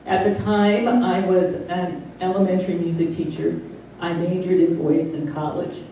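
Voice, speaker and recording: A middle-aged woman giving a personal testimony, speaking slowly and haltingly with carefully over-articulated consonants. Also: on the low side, level tone, warm tone. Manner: slow and halting, many pauses. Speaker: interviewee. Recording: roomy, distant mic, faint hiss.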